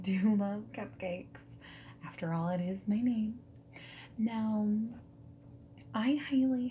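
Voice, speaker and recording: A woman playing a friendly, whimsical dating-service consultant in a gentle ASMR roleplay, soft-spoken and breathy, often slipping into a whisper. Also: medium pitch, close to an everyday voice, poor audio. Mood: welcoming. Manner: gentle, reassuring, chatty, unhurried. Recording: faint background hiss, keyboard typing. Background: mechanical keyboard typing.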